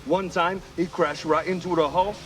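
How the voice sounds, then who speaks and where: A man's voice: a little high, steady tone, normal speed, far from the mic, loud music behind the voice, natural voice. An actor playing an easygoing, joking male spacer crewmate in a video game. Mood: amused, casual. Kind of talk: video game cutscene acting.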